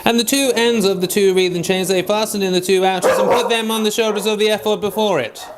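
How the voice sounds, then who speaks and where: High-voiced man reading scripture aloud. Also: fairly even intonation, brisk, very noisy. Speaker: Bible reader.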